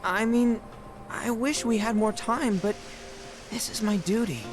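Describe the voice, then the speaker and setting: An actor voicing an eager, youthful talking beast with a boyish, bashful manner. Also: male voice, high voice, fairly even intonation, measured pace, over music. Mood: wistful.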